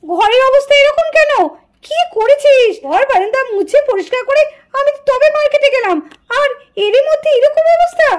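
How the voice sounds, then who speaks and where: Woman doing a strict cartoon mom telling the kids off, very high voice, stern n accusing. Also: animated, normal speed. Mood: scolding. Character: scolding, strict mother of two young girls. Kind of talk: puppet doll cartoon dialogue.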